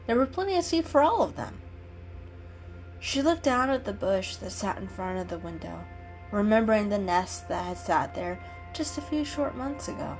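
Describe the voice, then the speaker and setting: A woman's voice: mid-range voice, a little mellow, rough recording. A woman giving a scripted, dramatic narration of an animal story as a storyteller. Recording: music behind the reading.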